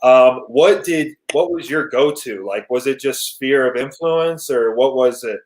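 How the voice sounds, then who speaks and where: A man's voice: mid-range voice, measured pace, a little hiss or hum. Upbeat male host in an echoey room. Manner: upbeat, encouraging, loose. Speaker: podcast host. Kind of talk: casual interview chat.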